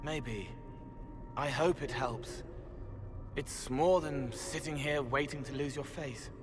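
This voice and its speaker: A man voicing a gentle, eerie young spirit in a video game, speaking in halting, poetic fragments. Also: mid-range voice, noisy background, lightly acted. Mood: quietly reassuring. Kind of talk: dramatic video game acting. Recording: music behind the voice.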